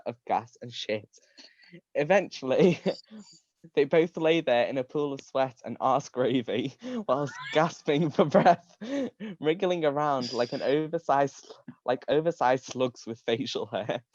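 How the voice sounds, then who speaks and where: A man's voice: on the high side, a little mellow, no room sound, dull audio. A man giving a live comedic reading of a story, amused, often breaking into laughter and tripping over his words. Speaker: reader of comic fan fiction.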